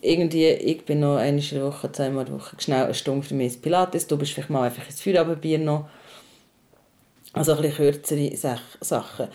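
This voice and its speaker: A woman's voice: deep voice, brisk, warm tone. A young woman hosting a podcast in a casual, chatty style. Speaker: podcast host.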